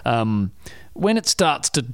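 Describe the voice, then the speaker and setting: This is a middle-aged man in relaxed conversation, poking fun at himself and breaking into laughter. His pitch leaps high and drops low as he talks. The sound is dry and close to the mic. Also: takes it slowly, clean tone, dry recording. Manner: self-deprecating, laughs often, many restarts. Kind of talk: casual conversational storytelling.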